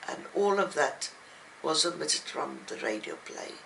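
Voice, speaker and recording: An older woman speaking as an interviewed writer, reflective and halting, with thoughtful pauses. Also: low voice, lively intonation, vocal fry, sharp tone, a little hiss or hum, low-quality recording. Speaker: novelist and playwright being interviewed. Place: at home, indoors.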